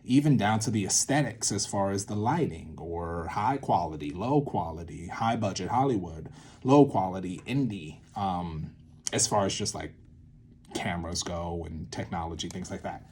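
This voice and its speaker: A man giving an informal creative lesson to camera, thinking aloud in an animated way with swings in emphasis. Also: low-pitched, normal speed, breathy edge. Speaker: creator and host teaching a creative method. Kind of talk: informal instructional monologue to camera.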